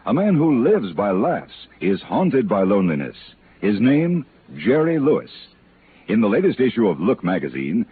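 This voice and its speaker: A man's voice: medium pitch, slight background noise. A man working as a radio announcer, reading scripted narration and ads in a 1950s style. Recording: tinny old radio broadcast with music.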